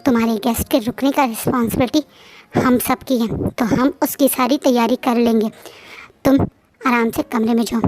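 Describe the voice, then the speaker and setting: A woman doing a stern husband calming his wife. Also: fairly high pitch, quick pace, lightly acted, low-quality recording. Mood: firm. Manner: firm, soothing.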